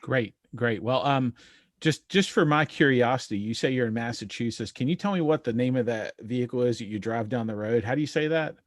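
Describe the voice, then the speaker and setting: A man's voice: mid-range voice, brisk, no room sound. Guy hosting a video call show, dry close sound, easygoing. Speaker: host.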